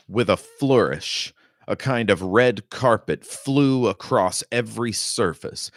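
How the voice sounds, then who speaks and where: A man with a very low voice narrates fiction like an audiobook. He reads at an unhurried pace with measured, dramatic phrasing, and the sound is dry with hardly any room in it. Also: no echo. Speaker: author reading own novel.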